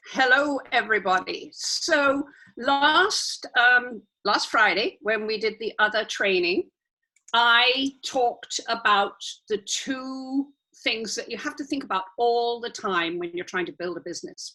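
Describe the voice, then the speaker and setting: A woman guest trainer with a croaky voice, explaining in a chatty way with asides and restarts over a video call. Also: on the high side, sharp tone, low-quality recording. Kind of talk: casual live training.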